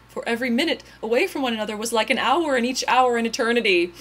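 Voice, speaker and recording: A woman performs a dramatic reading with theatrical, mock-tragic emphasis. Her voice sits at an ordinary middle pitch for a woman. It is an amateur recording with some noise behind her. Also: fairly bright tone. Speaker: reader. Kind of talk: melodramatic dramatic reading of overwrought prose.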